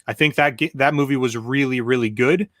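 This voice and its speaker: A man's voice: medium pitch, brisk, a bit bright, no room sound, clean studio sound. A man on a panel chatting casually and giving his opinions in a conversational way.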